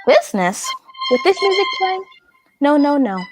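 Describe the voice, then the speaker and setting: A woman playing a flirtatious, quick-tempered society hostess, theatrical and imperious, in a radio drama. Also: sits high, huge pitch swings, faint music behind. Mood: teasing, pouting.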